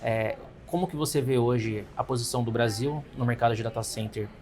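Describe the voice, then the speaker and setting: A man's voice: mid-range voice, brisk, a little mellow, faint music behind. A Brazilian man hosting a casual interview, asking long, winding questions and affirming often. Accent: Brazilian Portuguese. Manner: rambling questions with frequent affirmations. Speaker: interviewer, host.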